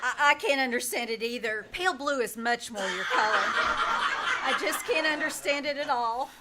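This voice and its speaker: A woman plays a wisecracking schoolteacher in an old-time radio comedy. Her voice is high and her delivery dry and sardonic. Loud noise runs through the live theater recording. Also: lively intonation, normal speed. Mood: wry. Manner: dry, sardonic one-liners. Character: wry, wisecracking female English teacher. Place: small-town theater stage, live performance.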